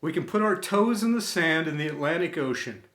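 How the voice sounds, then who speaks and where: A man reading a scripted inspirational tribute in an earnest, deliberate manner, pausing between short phrases. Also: fairly high pitch, lively intonation, fairly bright tone. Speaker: storyteller and host of an inspirational series. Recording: echoey room.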